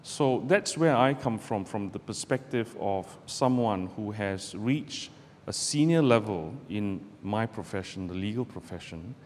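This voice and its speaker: A middle-aged man from Malaysia, a barrister giving a measured, deliberate public talk to students from a podium in a lecture hall. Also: fairly low pitch, expressive, darkish tone. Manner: measured, deliberate delivery with pauses. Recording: roomy hall with long reverb, faint background noise.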